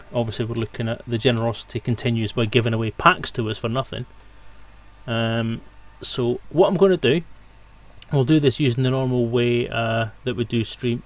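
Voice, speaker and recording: A man with an average-pitched voice chats casually as he hosts a live stream giveaway. His delivery is loose and tongue-in-cheek, with some swearing. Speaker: stream host running a giveaway.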